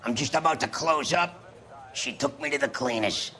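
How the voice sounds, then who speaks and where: An actor playing a weary but helpful, slangy shopkeeper bookmaker in a 1940s crime game. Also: male voice, medium pitch, moderate pace, sharp tone, lightly acted. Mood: rueful. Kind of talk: video game dialogue, 1940s crime drama.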